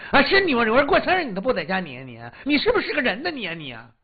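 A furious middle-aged wife yelling accusations at her husband, as a storyteller puts her on. The voice is husky and swings widely from low to piercing high. It comes through a dull, lo-fi recording. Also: wildly swooping pitch, racing, heavily acted. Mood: furious scolding. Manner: shouting, nagging repetition. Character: furious shrill wife scolding drunk husband.

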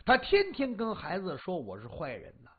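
A drunk husband pouring out grievances in a whiny, stammering voice, as a storyteller puts him on. The tone is husky and lurches up and down in pitch. The sound is dull and lo-fi. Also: wildly swooping pitch, moderate pace. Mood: aggrieved whining. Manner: whining complaint, stammering. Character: drunk aggrieved husband pleading his case.

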